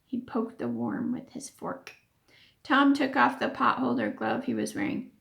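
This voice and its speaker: A woman reads a children's story aloud in a high, lively voice. Her pace is unhurried and her pitch moves freely through each sentence, with the expressive manner of a teacher. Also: vocal fry.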